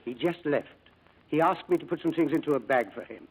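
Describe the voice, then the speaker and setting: A man's voice: on the high side, lively intonation, brisk, breathy edge, rough recording. A man playing a deferential, uncertain, confiding manservant in 1940s radio drama style.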